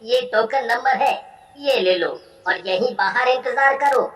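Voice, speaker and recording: Woman doing a brusque guard in a cartoon, highish voice, echoey, no nonsense. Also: a little slow, fairly bright tone. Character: blunt male hospital security guard. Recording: echoey, distant from the mic.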